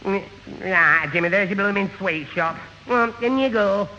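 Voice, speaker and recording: A man's voice: high voice, a little slow, in a large hall. An actor playing a dim, easily flustered young man in a radio sitcom, stammering and tangling his words. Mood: grudging. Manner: flustered, contradicts himself, repeats words. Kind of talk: 1970 radio sitcom acting. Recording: muffled old radio broadcast, hollow, hissy.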